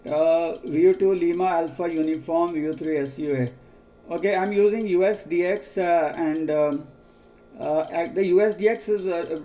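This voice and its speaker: An Indian-accented man working as an amateur radio operator, measured and repeating key details in a procedural exchange. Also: fairly high pitch, mellow.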